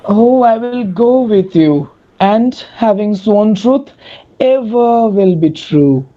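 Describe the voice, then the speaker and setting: A woman playing a bewildered, smitten young gentleman in a dramatic reading of Shakespearean comedy. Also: mid-range voice, lively intonation, slow, muffled recording. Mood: devoted.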